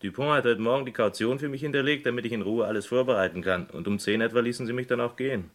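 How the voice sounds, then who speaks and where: Shady smuggler in a radio crime drama, played by a man: mid-pitched, slick, insistent, edgy. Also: fast talker, natural voice. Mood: brisk, evasive. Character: shady watch smuggler, male, in money trouble, slick but edgy. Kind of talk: German radio crime play acting.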